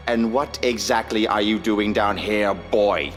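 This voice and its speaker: A man playing a stern, greedy, pompous workhouse master in a radio drama, speaking in a commanding, deliberate way. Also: on the low side, a bit bright. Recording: long hall reverb, music behind.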